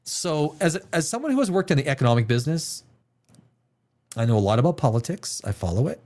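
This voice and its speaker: A man's voice: fairly high pitch, big pitch swings, quick pace, darkish tone. A middle-aged man from Canada hosting a live show, ranting his opinions in an emphatic, profane way and repeating himself. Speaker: podcast host. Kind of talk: livestream opinion rant.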